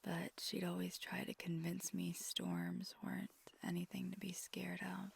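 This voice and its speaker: Woman acting as affectionate vampire girlfriend, hushed breathy whisper, very crackly creak, gentle, intimate ASMR roleplay. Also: lowish voice, flat delivery, a little slow, full character voice. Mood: wistful, gentle.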